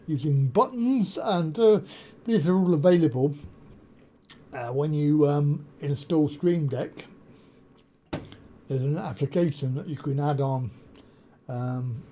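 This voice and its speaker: A man walks through a piece of software at a slow, deliberate pace, pausing often on ums and uhs. His voice is deep and mellow, a little higher-pitched than most men. A faint background hiss sits under the desk-mic recording. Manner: unhurried, explanatory, many ums and uhs. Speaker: software tutorial presenter. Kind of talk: screen-recorded software walkthrough. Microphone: desk USB microphone.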